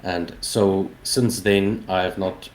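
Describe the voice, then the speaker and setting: Male game developer, forties, South African accent, native Afrikaans, low-pitched, conversational, noise behind him. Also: steady tone, slow, darkish tone. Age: 40s. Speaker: interview guest, indie game developer. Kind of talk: casual interview answers.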